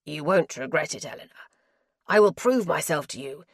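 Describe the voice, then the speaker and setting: Woman playing a sly desperate husband, pushy and loud, begging nonstop, dry close mic. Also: low voice, lively intonation, moderate pace, creaky, husky, no echo, full character voice. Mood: pleading. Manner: urgent, begging. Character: pleading, cunning husband, grown man. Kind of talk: audiobook character voice.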